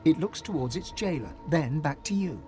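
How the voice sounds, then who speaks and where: A man narrating a dark fantasy video game as an expressive, dramatic storyteller. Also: on the high side, normal speed, faint music behind, lightly acted. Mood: hushed intrigue.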